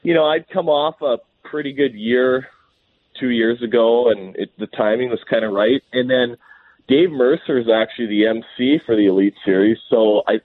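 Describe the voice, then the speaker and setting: A Canadian man in his thirties, hoarse and scratchy from a cold, talking casually and rambling a bit as a guest. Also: lowish voice, no room sound. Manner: rambling, easygoing, restarts often. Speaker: professional bass angler, guest. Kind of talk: casual phone interview. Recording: tinny phone line.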